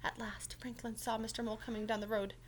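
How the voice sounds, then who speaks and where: A woman's voice: fairly high pitch, flat delivery, fast talker, a little hiss or hum. A woman reading a children's story aloud as a teacher, expressively, with simple explanatory asides to young listeners.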